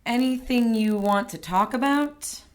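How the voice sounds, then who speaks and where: A woman's voice: fairly high pitch, a little slow, natural voice. A woman playing a down-to-earth, sceptical ally of a detective, blunt and wry, in a radio drama.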